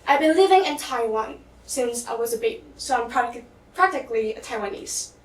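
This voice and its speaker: A woman's voice: a little high, animated, brisk. A teenage girl from the Philippines gives an earnest prepared speech for a contest, stumbling now and then and restarting words. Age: teens. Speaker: student contestant. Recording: echoey hall, mic at a distance.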